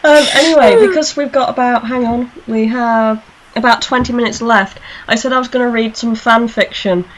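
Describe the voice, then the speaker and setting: A woman's voice: fairly high pitch, normal speed, low-quality recording. A woman hosting a casual group fan chat, speaking in a chatty, joking way. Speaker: host of a fan discussion show.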